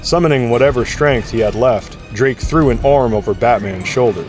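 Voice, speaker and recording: A man's voice: mid-range voice, moderate pace, darkish tone. A man reading scripted dramatic narration for an audio drama. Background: music.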